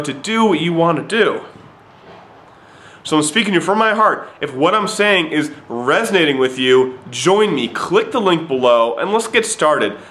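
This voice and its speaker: A man giving an urgent, repetitive, preachy sales pitch straight to the camera. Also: a bit bright, roomy sound. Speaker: recruiting pitch to viewers.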